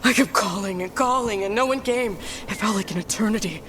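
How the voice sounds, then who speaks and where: A woman's voice: medium pitch, fairly quick, natural voice. Shaken lost scout played by a woman, noisy ambience. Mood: haunted. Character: frightened, drained lost scout, just rescued. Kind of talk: video game voice acting. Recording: noisy outdoor ambience behind.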